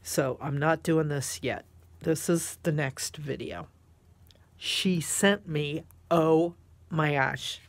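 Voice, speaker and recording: A woman's voice: low-pitched, slow pace, dry recording. A woman craft vlogger chatting casually and gushing over the items she shows, sounding congested with a snotty nose. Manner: gushing, exclamatory. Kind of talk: casual rambling chat while showing craft items.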